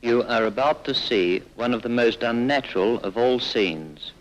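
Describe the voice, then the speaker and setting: A man narrating a 1940s documentary in grave, emphatic, measured scripted phrases, with music behind him. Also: medium pitch, highs cut off. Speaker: film narrator. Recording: old film soundtrack.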